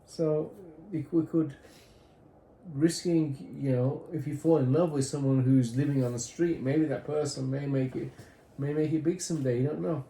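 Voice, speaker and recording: A man's voice: mid-range voice, fairly even intonation, normal speed, mellow. A man with a British accent that has drifted toward American, rambling easily and joking in a casual couple's chat. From Britain. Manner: rambling, easygoing, jokey. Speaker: husband in a couple's question game. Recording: echoey room, faint background noise.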